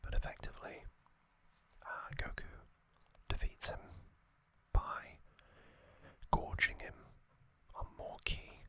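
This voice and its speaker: An ASMR host whispering a relaxed trivia recap, soft and unhurried, with hesitant fillers and small self-corrections. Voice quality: fully whispered, breathy.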